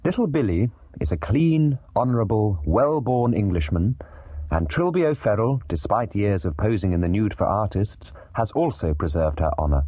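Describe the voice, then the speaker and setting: A man narrating a dramatized story in a measured, dry manner. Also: fairly low pitch, lively intonation, mellow, breathy edge, no room sound. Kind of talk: scripted narration of a dramatized audiobook.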